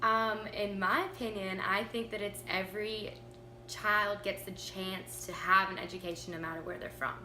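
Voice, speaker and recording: A woman's voice: mid-range voice, fairly even intonation, normal speed, reverberant, poor audio. A young woman student from South Africa, giving brief, straightforward answers. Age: young adult. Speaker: student interviewee.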